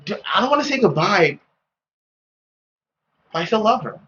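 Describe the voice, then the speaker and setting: A young man hosting a gaming video with casual, expressive, exclamatory commentary. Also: high voice, moderate pace, highs cut off, slight background noise. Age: young adult. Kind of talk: casual let's play commentary.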